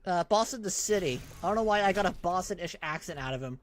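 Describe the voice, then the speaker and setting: A man's voice: sits high, expressive, fast. Joking man giving game commentary. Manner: offhand, joking asides. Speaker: gameplay streamer. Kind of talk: casual gameplay commentary.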